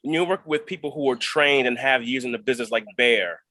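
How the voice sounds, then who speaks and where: A man's voice: medium pitch, a little fast, sharp tone, no echo. A man talking reflectively about his craft as a guest actor in an interview.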